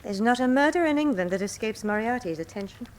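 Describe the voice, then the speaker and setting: An actress playing a clever, guarded adventuress in a radio drama, with a refined and composed manner. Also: female voice, on the high side, lively intonation, fast, close to an everyday voice. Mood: poised, guarded. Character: poised, clever female adventuress posing as an heiress.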